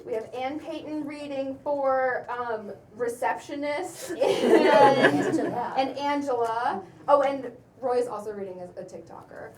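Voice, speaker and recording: A woman's voice: high voice, a little slow, a crowd in the background. A woman hosting an event, introducing a cast in an informal, playful, chatty speech. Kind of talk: informal, joking welcome speech. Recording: echoey room, distant mic, clear noise.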